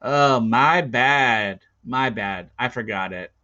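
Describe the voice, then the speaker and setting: A man's voice: mid-range voice, slow, bright, forceful tone, no room sound, dull audio. A man reading a storybook aloud, playing an earnest young boy hunter who speaks in an eager, pleading, hesitant way. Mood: sheepish.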